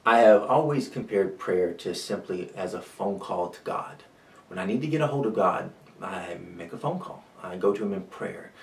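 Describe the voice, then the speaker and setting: A man speaking as a pastor in an earnest, measured devotional sermon, repeating key phrases with pauses. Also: deep voice, animated, vocal fry. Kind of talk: short devotional sermon talk. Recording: roomy echo, distant microphone.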